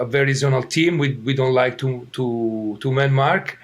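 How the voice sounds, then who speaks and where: Italian-accented man, halting interview answers. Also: medium pitch. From Italy. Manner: halting, many fillers and restarts. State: throat irritated. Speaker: soccer head coach.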